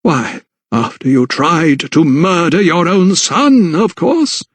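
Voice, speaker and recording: A man's voice: on the high side, animated, no echo, voice pushed up. A man voicing a sinister, condescending elderly vicar, a religious fanatic, in an audiobook. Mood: sanctimonious. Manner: slow, sanctimonious, unctuous. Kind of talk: dramatised audiobook character dialogue.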